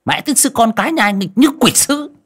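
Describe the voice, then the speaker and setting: A man voicing a cruel, bullying stepmother who scolds loudly and shrilly. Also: extremely high pitch, expressive, unhurried, voice pushed up, exaggerated voice. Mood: scolding. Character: cruel shrewish stepmother, sharp-tongued and bullying.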